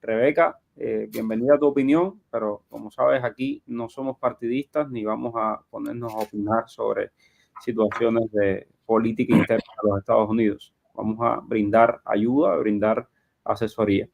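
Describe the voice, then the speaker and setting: Male live-show host, mid-pitched voice. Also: fairly even intonation, normal speed, vocal fry, a little mellow. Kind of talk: live Q&A, reading audience questions aloud.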